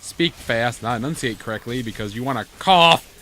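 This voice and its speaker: A spiteful little gnome, put on by a man in a gaming video, taunts and schemes. The voice sits a little above low, over faint noise on a home recording. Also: moderate pace, sharp tone, lightly acted. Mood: taunting. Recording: noisy home gameplay recording.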